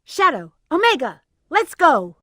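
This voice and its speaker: A woman's voice: high voice, wildly swooping pitch, unhurried, pushed and bright, no echo, clean studio sound, heavily acted. An actress voicing a sassy, confident female bat treasure hunter in a video game. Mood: bossy.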